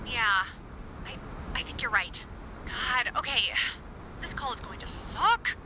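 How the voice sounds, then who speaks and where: Anxious high-voiced researcher over noisy radio. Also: female voice, lively intonation. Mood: worried. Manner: halting, thinking aloud. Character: anxious, science-minded woman researcher on night duty. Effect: walkie-talkie radio. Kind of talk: naturalistic video game acting.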